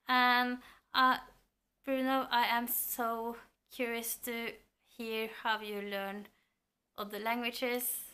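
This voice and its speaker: A woman's voice: on the high side, sharp tone, smooth. A woman in her thirties with a Norwegian accent, chatting to the camera at home about books, thoughtful and a little hesitant. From Norway. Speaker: book vlogger. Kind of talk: casual chat to camera. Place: home room lined with bookshelves. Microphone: camera at close range.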